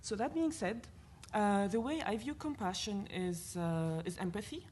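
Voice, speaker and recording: A woman from Lebanon with a Lebanese accent, speaking as a panelist at a university event in an earnest, animated way, thinking aloud with fillers. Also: mid-range voice, unhurried, a little hiss or hum. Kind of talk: panel discussion talk.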